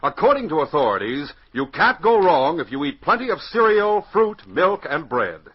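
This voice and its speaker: Male 1940s radio announcer-narrator, North American, dramatic and highly inflected, on a muffled, hissy old recording. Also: sing-song, moderate pace, breathy edge. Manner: dramatic, emphatic.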